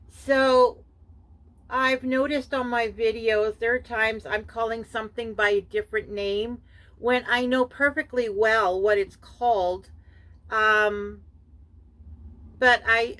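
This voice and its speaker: A woman's voice: on the high side, a bit bright, low-quality recording. A middle-aged woman from Canada whose first language is German, talking casually and hesitantly through a crafting show-and-tell, with many fillers and self-corrections. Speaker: crafting vlogger.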